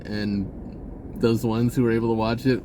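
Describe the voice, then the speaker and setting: A man speaks off the cuff in a mid-pitched voice, with clear ambient noise behind him. He rambles casually, as in a spiritual vlog. His delivery is halting and informal, often breaking off and starting over. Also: flat delivery. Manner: halting, self-interrupting, informal. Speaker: spirituality vlogger.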